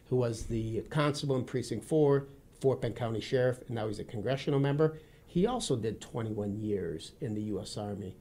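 Earnest middle-aged Midwestern man. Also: mid-range voice. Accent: Midwestern (Wisconsin). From Wisconsin. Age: 50s. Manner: steady, earnest and formal, addresses the host by name often. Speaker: guest. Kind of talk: radio interview. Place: radio studio.

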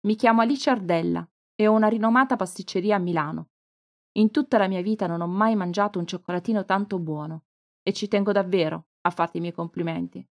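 A woman's voice: mid-range voice, brisk, close to an everyday voice. A woman voicing a confident, theatrical pastry chef offering praise and a job, in a read-aloud story. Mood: gracious.

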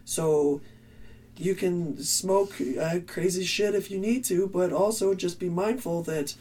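A raspy-voiced man, a band's vocalist, rambling earnestly through interview advice with restarts. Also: sits high, takes it slowly, darkish tone, far from the mic. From Canada. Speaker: band vocalist. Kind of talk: casual interview answers.

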